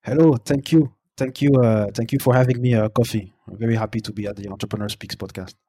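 Guy on a podcast, bit raspy, lots of halting pauses, really dry audio. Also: medium pitch, fairly even intonation, dark tone, dry recording. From Brussels, Belgium. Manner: hesitant, halting, searching for words. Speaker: podcast guest, consultant. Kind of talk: conversational interview answers.

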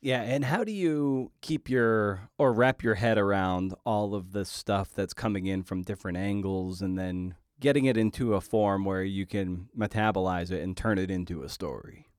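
A man with a low voice hosts an interview podcast in a casual, conversational way. The sound is very dry, with no room around the voice. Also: normal speed, few pauses, clear, resonant tone, dry recording.

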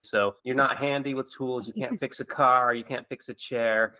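A man's voice: mid-range voice, steady tone, moderate pace, sharp tone, rough recording. A man hosting a podcast interview, a surgeon with a friendly, encouraging and jokey manner. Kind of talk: conversational interview.

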